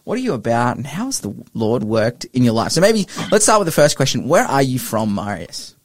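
A man's voice: mid-range voice, big pitch swings, brisk, no room sound. An upbeat man hosts a radio interview, chatty and often starting a sentence over. His voice sits in the middle range. The studio sound is dry and close. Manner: upbeat, chatty, many restarts. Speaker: radio host.

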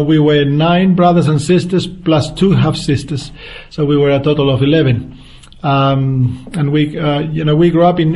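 Man, 50s, Chilean Spanish accent, fairly high voice, earnest, unhurried, restarts often. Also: darkish tone. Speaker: guest evangelist. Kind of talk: unscripted interview answers.

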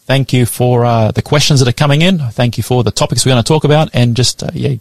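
A man's voice: mid-range voice, quick pace, gravelly, no room sound. Easygoing Australian man on radio. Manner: relaxed, brief agreeable replies. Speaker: radio co-host. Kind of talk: radio talk show chat and prayer.

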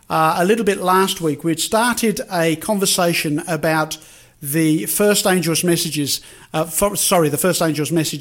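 Radio host, higher voice. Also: male voice. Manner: relaxed, rambling with fillers.

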